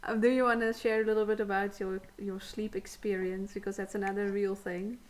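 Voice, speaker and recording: A woman's voice: mid-range voice, level tone, a little fast. Encouraging woman interviewer. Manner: encouraging, affirming. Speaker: interview host. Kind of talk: casual conversational interview.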